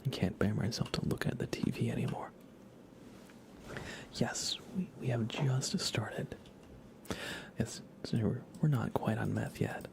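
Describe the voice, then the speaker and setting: A man whispering softly and playfully close to the microphone, giving relaxed ASMR commentary while he games and chats with viewers. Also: mid-range voice, unhurried, pauses often. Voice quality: soft, breathy whisper. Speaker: live streamer. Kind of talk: whispered ASMR game stream commentary with chat.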